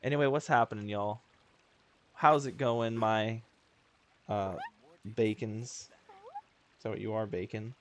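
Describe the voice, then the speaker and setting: A man's voice: lowish voice, steady tone, measured pace, pauses often, slight background noise. A man livestreaming a video game in casual, slangy, joking and self-deprecating chat. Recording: game music behind the voice. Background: video game music.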